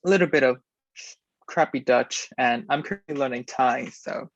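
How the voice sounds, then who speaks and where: A man's voice: mid-range voice, moderate pace, no echo. A teenage boy from Hong Kong chatting casually as a guest on a student podcast. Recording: dry, muffled recording.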